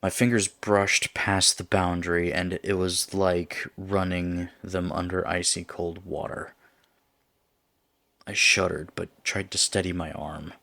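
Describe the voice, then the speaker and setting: A man narrating a scripted first-person horror story in a measured, suspenseful way. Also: sits low, flat delivery, gravelly, pushed and bright, no room sound.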